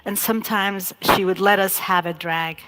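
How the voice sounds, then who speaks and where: A woman from Iraq telling her family's story in a talk, measured and reflective, pausing often. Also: mid-range voice, steady tone, fairly bright tone, low-quality recording. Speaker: speaker giving a talk. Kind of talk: slow personal storytelling talk.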